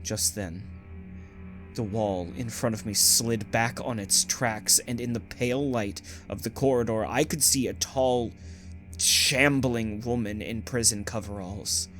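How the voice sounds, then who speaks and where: A man's voice: on the low side, fairly even intonation, a little slow, close to an everyday voice. A man playing a frightened, brooding condemned prisoner, narrating his ordeal theatrically in a first-person audio drama. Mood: tense, grim. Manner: theatrical, anguished, swelling from hushed to urgent. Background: music.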